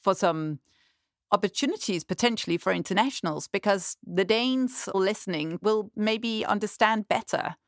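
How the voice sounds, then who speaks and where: A woman co-hosting a podcast, explaining her points thoughtfully in a relaxed chat. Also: mid-range voice, normal speed, no echo, studio quality. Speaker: podcast co-host. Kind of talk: casual studio chat.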